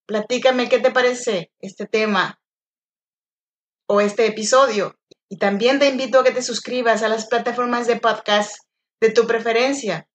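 A woman from Oaxaca with a Mexican accent hosting a solo podcast, speaking gently and encouragingly straight to the listener. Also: fairly high pitch. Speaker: podcast host. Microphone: home podcast mic.

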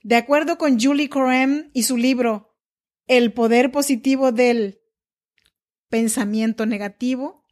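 A woman hosts her podcast alone, talking to the listener in a confiding, personal way. Her voice sits a little higher than most women's. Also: unhurried. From Mexico. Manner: warm, confiding, talks directly to the listener. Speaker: podcast host.

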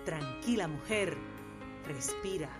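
A woman's voice: sits low, animated, takes it slowly. A woman reading a scripted podcast intro in a solemn, encouraging way. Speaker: podcast announcer. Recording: loud music bed under voice.

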